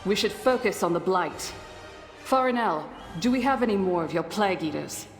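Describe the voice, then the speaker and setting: An actress playing a stern, practical undead councilwoman in a video game, brisk and formal. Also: mid-range voice, expressive, faint music behind, close to an everyday voice. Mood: focused. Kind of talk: video game cutscene acting.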